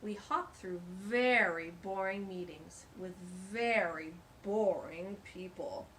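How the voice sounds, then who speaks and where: A woman's voice: mid-range voice, slow pace, slight background noise, poor audio. A woman hosting a children's storytime, reading aloud expressively with exclamations and chatty asides. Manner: animated, exclamatory.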